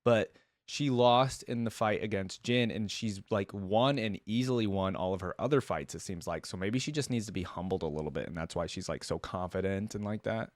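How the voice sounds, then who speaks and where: Low-voiced man reacting casually. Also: a little fast. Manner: rambling, hedging, thinking aloud. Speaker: reaction video host.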